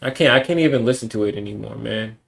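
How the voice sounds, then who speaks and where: A man's voice: on the low side, quick pace, vocal fry. A young man streaming alone, ranting bluntly and with lots of profanity in a casual monologue. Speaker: livestreamer.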